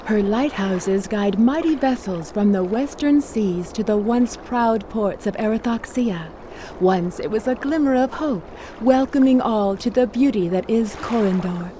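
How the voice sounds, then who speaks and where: A woman playing a solemn, mystical narrator in a fantasy video game, telling of the realm in a grand, measured storyteller delivery. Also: on the high side, dark tone, slight background noise, close to an everyday voice. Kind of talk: dramatic scripted fantasy narration.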